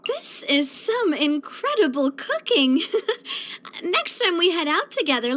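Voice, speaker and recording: A young woman voicing a cheerful, elegant young lady leader in a video game, earnest, chatty and expressive. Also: sits high, exaggerated voice. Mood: cheerful, encouraging. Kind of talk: video game character voice lines.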